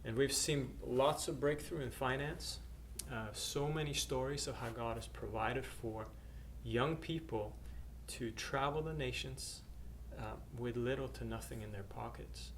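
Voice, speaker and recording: A middle-aged man from Norway shares his missionary testimony at an even, mid-range pitch. He talks haltingly, full of filler sounds and restarts. A faint hiss of noise sits under the recording. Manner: hesitant, many uhs and restarts. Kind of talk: personal testimony to camera.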